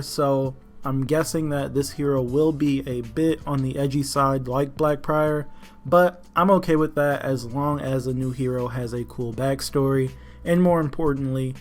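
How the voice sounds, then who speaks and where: A man giving casual, opinionated commentary as the host of a gaming news video. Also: a little high. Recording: music behind the voice. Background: background music.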